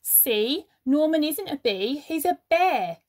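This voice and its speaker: A woman's voice: sits high, animated, slow pace, a little mellow, pitched above the performer's own voice. A woman voicing a clever little female bee in a children's story, questioning and insistent.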